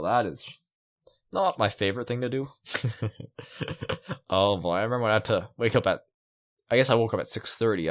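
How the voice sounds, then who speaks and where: A man playing a visual novel, reading it aloud and musing with casual asides. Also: lowish voice. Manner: musing, reacting aloud to the story. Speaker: let's player. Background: game music.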